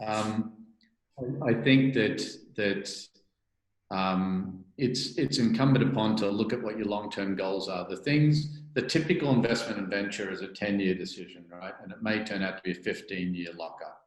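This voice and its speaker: A man's voice: lowish voice, normal speed. A man being interviewed as a guest, explaining things conversationally and often tagging on "right?". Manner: explanatory, many restarts and "right?" tags. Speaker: venture capital partner, guest. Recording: echoey room.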